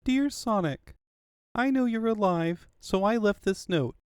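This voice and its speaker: A man's voice: high-pitched, animated, a little slow, clean tone, no echo, studio quality, pitched above the performer's own voice. A man voicing an earnest young fox sidekick in a comic dub, with a childlike delivery.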